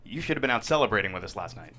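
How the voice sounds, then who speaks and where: A man's voice: fairly low pitch, expressive, rapid-fire, bright, forceful tone, a little hiss or hum. A man playing a confident, teasing lawyer in his forties, breezy in manner, at a script table read.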